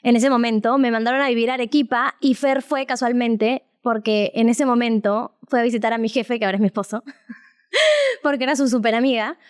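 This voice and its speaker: A young woman talks casually as a podcast co-host. Her voice sits high and comes through smooth and clean. She tells her stories in a chatty way, laughing often as she goes. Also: animated, brisk.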